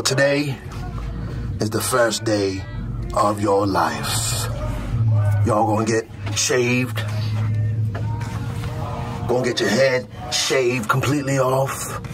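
A middle-aged American man playing a stern, mocking army drill sergeant, barking intimidating, deliberate lines in a comedic roleplay. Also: medium pitch, over music, close to an everyday voice. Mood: stern, commanding. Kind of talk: comedic military ASMR roleplay.

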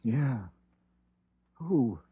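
Frightened old country fellow, slow halting speech, swooping pitch, folksy, 1930s radio horror, tinny recording. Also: male voice, animated, breathy edge, dry recording, heavily acted. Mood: shaken, uneasy. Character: shaken, folksy old country innkeeper, slow-witted and fearful.

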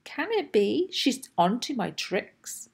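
A woman reading a children's storybook aloud in an expressive, deliberate way with emphatic phrasing. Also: a little high, creaky, breathy edge. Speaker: host and children's storybook reader.

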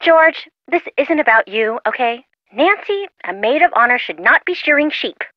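An actress playing a girly, sentimental young woman, expressive and fussy, chiding her friends through a telephone filter. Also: high-pitched, normal speed, dry recording. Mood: exasperated, sentimental. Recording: muffled, lo-fi phone-call sound.

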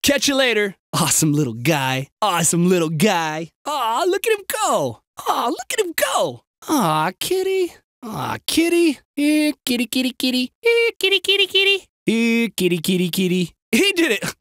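Young male game bystander, crude and snarky, jumpy, very animated intonation, dry close recording. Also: extremely high pitch, moderate pace, dry recording, heavily acted. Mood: dismissive, then casual, excitable. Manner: sarcastic, exaggerated, swinging from flat to frantic. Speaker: video game voice actor.

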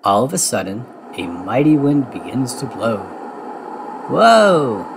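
A man reading a children's storybook aloud in expressive narration. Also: fairly low pitch, normal speed, background noise. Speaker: storybook reader.

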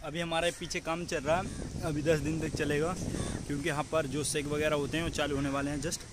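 A man's voice: fairly high pitch, flat delivery, quick pace. Young male vlogger, chatty with viewers, phone at arm's length outdoors, heavy background noise. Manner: chatty, addresses viewers as friends. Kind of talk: casual travel vlog. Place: outdoors on a beach.

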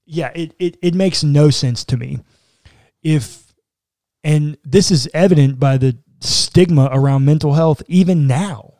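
Mellow-voiced man in casual podcast chat. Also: on the high side, measured pace, no room sound, studio quality. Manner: reflective, talks it through with frequent "like". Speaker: podcast co-host. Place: small room indoors, seated in armchair. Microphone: boom-arm studio mic close in front.

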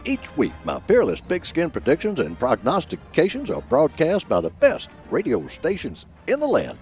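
A man performing as a folksy, pompous old football professor, giving grandiloquent scripted comic radio commentary in a husky voice. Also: a little high, darkish tone, no echo. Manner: folksy, grandiloquent alliterative delivery. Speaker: host of a college football prediction show.

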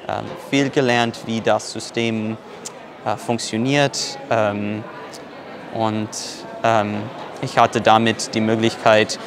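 A man in his twenties from the United States, a native English speaker with an American accent, giving an on-camera testimonial as an intern and searching for words. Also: mid-range voice. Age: 20s. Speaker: parliamentary intern. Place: large busy glass-walled lobby, indoors. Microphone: lapel mic. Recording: clear background noise of busy hall.

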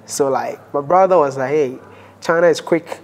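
A man's voice: on the high side, normal speed. A young man from Ghana with a Ghanaian accent, telling his story animatedly as an interview guest at a restaurant table. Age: young adult. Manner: animated storytelling, gestures while talking. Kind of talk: casual interview chat. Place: indoor dining room at a restaurant table.

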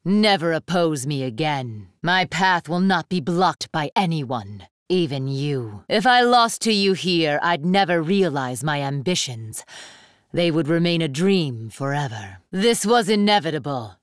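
A woman's voice: low-pitched, lively intonation, measured pace, dry recording, clean studio sound. A woman playing an imperious young student council leader in an anime fighting game, commanding, haughty and formal with steely resolve.

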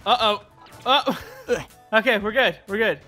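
A man in casual gameplay banter, excitable and playful, repeating phrases urgently. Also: high-pitched, measured pace, soft background music. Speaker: gamer in a group video.

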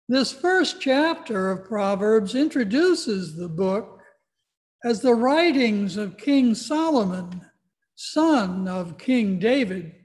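A male pastor in his sixties leads a worship service from a church sanctuary. He speaks slowly as he guides listeners through scripture, heard through a webcam a short distance away. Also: very high voice, darkish tone. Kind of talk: leading worship, introducing and reading scripture.

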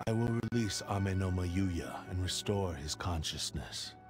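A low-voiced, grim sword spirit in a video game. He speaks in solemn, formal, measured phrases, with music clearly behind him. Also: lively intonation. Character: grim spirit of a cursed samurai blade. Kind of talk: video game character acting.

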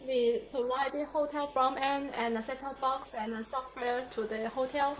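A young woman guiding visitors through an office and factory, speaking haltingly with many fillers. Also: high voice, steady tone. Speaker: company guide. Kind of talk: factory tour walkthrough. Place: office and factory floor, indoors. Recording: noisy factory and office background.

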